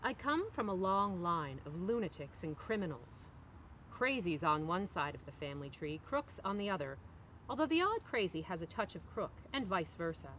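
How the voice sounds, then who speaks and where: A middle-aged woman, an author, reading their own novel aloud in an expressive literary style. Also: lowish voice, moderate pace, rough recording. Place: outdoors on a park bench among trees. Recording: faint outdoor background noise.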